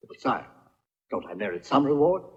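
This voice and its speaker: A man's voice: medium pitch, big pitch swings, normal speed, close to an everyday voice. An actor playing a greedy, cowardly henchman in a dubbed historical adventure film, whining and wheedling servilely. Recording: dull dubbed film soundtrack under loud music.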